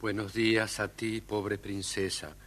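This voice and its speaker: A grave enchanted horse from a children's storybook record. The voice is fairly low with a hard, bright edge, full of pity. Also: flat delivery, measured pace. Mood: mournful. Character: dead enchanted horse's head, grave, pitying. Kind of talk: children's audio storybook acting.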